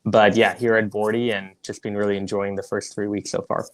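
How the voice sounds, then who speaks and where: A man's voice: fairly low pitch, level tone, brisk. A man in his twenties from Singapore, a guest on a podcast, talking in an easygoing, conversational way. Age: 20s. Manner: easygoing, conversational, uses "like" and "you know". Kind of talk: casual interview.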